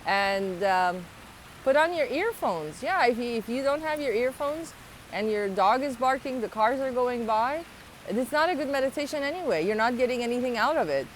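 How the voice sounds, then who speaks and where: A woman's voice: fairly high pitch, animated, normal speed, a little hiss or hum. A woman with a slight foreign accent, talking to her viewers in a casual, earnest opinion vlog with many ums and rising, emphatic questions. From abroad, moved to the United States at twelve. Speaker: vlogger.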